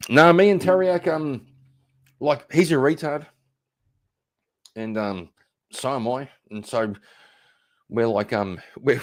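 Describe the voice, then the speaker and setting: A man from Northern Ireland chatting casually on a livestream, blunt and profane, with many fillers. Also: medium pitch. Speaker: streamer.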